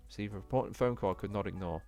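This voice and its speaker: A man with a low voice narrates a visual novel over clearly audible music. He reads casually, trips over the odd phrase and drops in blunt commentary of his own. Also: racing, darkish tone. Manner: stumbling over read text, blunt indignant asides. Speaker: let's play host.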